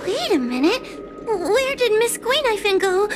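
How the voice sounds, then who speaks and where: A woman's voice: extremely high pitch, sing-song, measured pace, heavily acted. A woman voicing a timid, easily frightened young girl in a video game, nervous, meek and pleading. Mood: scared, pleading. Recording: loud music behind the voice. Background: game music.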